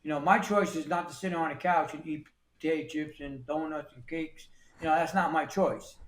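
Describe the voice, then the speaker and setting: A man's voice: fairly high pitch, fairly even intonation, normal speed. Echoey-sounding man in his sixties from New York. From New York City. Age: 60s. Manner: plain-spoken, repeats words and restarts often. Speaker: guest. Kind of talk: casual interview talk.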